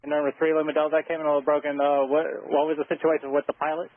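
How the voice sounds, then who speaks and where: Male air traffic controller, higher-pitched voice, narrow radio band, steady procedural instructions, halting delivery. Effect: aviation radio.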